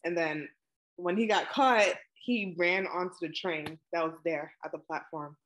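A woman, answering questions in a relaxed oral history interview over a video call, with plenty of ums and likes. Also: on the low side, a little slow, a little hiss or hum. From Brooklyn, New York. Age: 20s.

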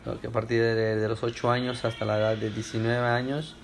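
A man's voice: medium pitch, little pitch movement, a little fast, creaky, slight background noise. A young man from Ecuador answering oral history questions at home, hesitant with many fillers and restarts. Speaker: interviewee.

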